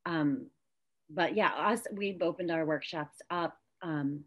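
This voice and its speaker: A woman's voice: low-pitched, slow, dull audio. A woman in her thirties interviewing casually on a video call, with informal, loose phrasing. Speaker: interviewer, host. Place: indoor room at home, video call.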